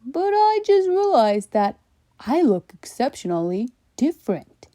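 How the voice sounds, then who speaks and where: A woman's voice: high-pitched, sing-song, unhurried, dark tone, no echo, clean studio sound, voice pushed up. A woman voicing a vain, dramatic male horse in a children's storybook, boastful and theatrical. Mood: sudden realization.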